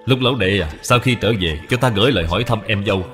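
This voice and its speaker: A man's voice: on the low side, quick pace, close to an everyday voice. A man voicing a kindly, courteous middle-aged general in a cartoon, speaking earnestly. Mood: generous. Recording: long reverb, background music.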